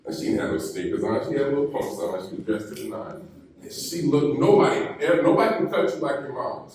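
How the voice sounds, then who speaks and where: A man's voice: mid-range voice, sing-song, darkish tone, a little hiss or hum. Man giving a speech in an echoey hall. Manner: storytelling, slow deliberate delivery. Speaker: keynote speaker. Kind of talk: graduation keynote speech. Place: university ceremony hall. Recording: echoey hall, mic heard from a distance.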